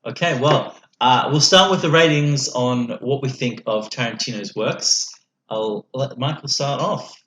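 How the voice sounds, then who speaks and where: A man's voice: mid-range voice, measured pace, fairly bright tone. A man co-hosting a podcast in casual, teasing banter, often repeating words as he gets going. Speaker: podcast co-host introducing the others. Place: echoey indoor room with other co-hosts. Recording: roomy echo, background noise, clicks.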